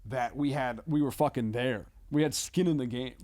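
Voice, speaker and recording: Male podcast guest, comedian. Mid-pitched, casual, hesitant phrasing, loose swearing, often seeks confirmation. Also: darkish tone. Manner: halting, hedging, swears casually, checks in with "you know what I mean".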